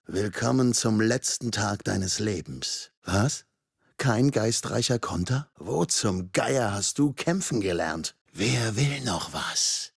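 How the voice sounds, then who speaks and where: A man voicing a taunting, sarcastic masked killer in a video game, with a husky, gravelly voice and a mocking, menacing manner. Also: fairly low pitch, dry recording, studio quality, heavily acted. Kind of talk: game combat voice lines in German dub.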